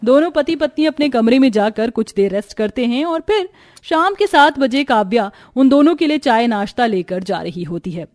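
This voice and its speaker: A woman narrates a family moral story from a script. Her voice is fairly high in pitch. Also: animated, normal speed, darkish tone, no room sound, studio quality.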